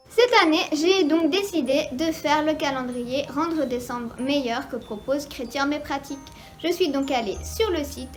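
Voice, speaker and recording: A woman showing a craft project in a casual tutorial, chatty and often hesitating with fillers. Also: high-pitched. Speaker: guest friend.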